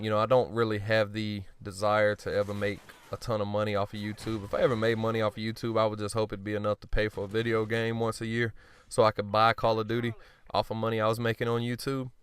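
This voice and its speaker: A man giving casual gameplay commentary, blunt and profane, rambling and joking at himself, often clearing his throat. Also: fairly low pitch. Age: adult. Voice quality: smooth voice. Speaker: gaming commentator.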